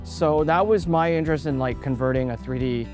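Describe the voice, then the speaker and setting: A man's voice: fairly high pitch, fairly quick, over music. A man in his forties who grew up in Canada, an artist talking about his work in an interview, thoughtful and hesitant, with many fillers and restarts. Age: 40s.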